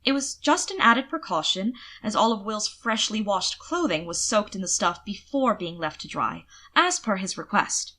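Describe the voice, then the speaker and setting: A woman narrates a piece of fiction in an expressive, scripted audiobook style. Her voice is bright and forward. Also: medium pitch, moderate pace, few pauses.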